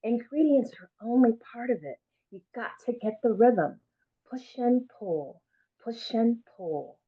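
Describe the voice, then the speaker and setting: A woman's voice: fairly high pitch, lively intonation, unhurried, breathy edge, phone-quality sound, pitched above the performer's own voice, heavily acted. Lady reading as a kindly grandma in a picture book, encouraging and reminiscing, phone-ish thin audio. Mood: patient teaching. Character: loving grandmother recalling her youth, encouraging.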